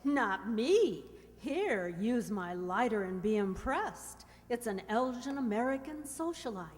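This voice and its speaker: A woman's voice: a little high, very animated, slow pace. A woman acting a dry, sassy character in an old-time radio show. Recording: echoey hall, long reverb.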